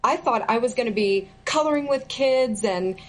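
A woman's voice: on the high side, expressive, normal speed. A middle-aged woman answering interview questions as a former federal employee, speaking earnestly and stressing key words with indignation.

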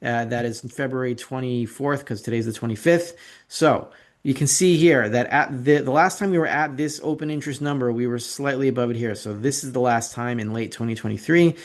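A man's voice: medium pitch, brisk. A man hosting an investing video report, talking through charts in a casual, opinionated, rambling way with sarcastic asides. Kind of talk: casual market commentary with slides.

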